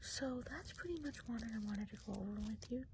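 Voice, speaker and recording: A woman playing a kindly witch of the woods in a soft-spoken, whispered ASMR role play, chatty and unhurried. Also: a little high, background noise, lightly acted. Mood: confiding, amused. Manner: hushed, unhurried, chatty, often trailing off.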